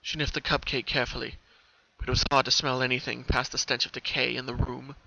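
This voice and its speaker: A man's voice: medium pitch, flat delivery, quick pace, creaky, bright, forceful tone. A man narrating a story as a dramatic reading. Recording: dull, lo-fi home recording.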